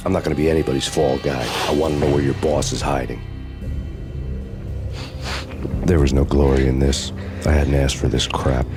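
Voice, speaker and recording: An actor playing a world-weary, sardonic renegade cop with an American accent, narrating a noir video game in a deadpan, brooding way. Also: male voice, very deep voice, fast. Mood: bitter, weary. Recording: loud music under the voice.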